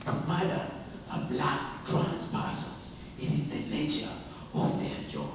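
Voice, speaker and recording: A man with a slightly high voice speaks passionately to a group, repeating phrases for emphasis and asking rhetorical questions. He is recorded from far off in a very echoey hall with clear background noise. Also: steady tone, a little slow. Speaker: community forum speaker. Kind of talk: impassioned public speaking to a group. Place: large indoor arts venue.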